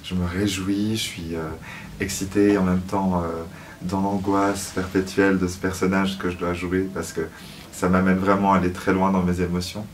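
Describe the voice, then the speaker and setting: A man's voice: sits low, level tone, normal speed, far from the mic, a little hiss or hum. A man giving reflective interview answers as a guest, hesitant and searching for words. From Switzerland (Lausanne), born in Paris. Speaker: musical theatre actor, interview guest.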